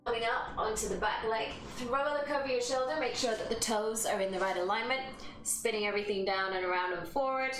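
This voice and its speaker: A woman's voice: a little high, brisk, a bit bright. A woman with a London accent leading a casual live yoga class, chatting and bantering informally with viewers. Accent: London English. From London, England. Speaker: yoga instructor and live streamer. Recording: echoey room, distant mic, music behind. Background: music playing.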